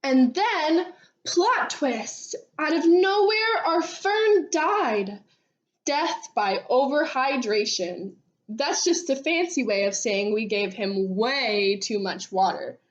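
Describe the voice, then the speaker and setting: Woman doing a kids book read-aloud, highish voice, animated, stretches out line endings, kinda dull lo-fi audio. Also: slow pace. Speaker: read-aloud storybook reader. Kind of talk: expressive children's storybook read-aloud. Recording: dull, lo-fi sound.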